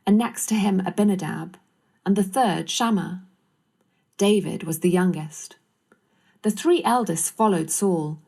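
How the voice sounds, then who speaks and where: A woman narrates a Bible story from a script. Her voice sits at an average pitch for a woman, and she reads as the storyteller between the characters' speeches. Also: dark tone.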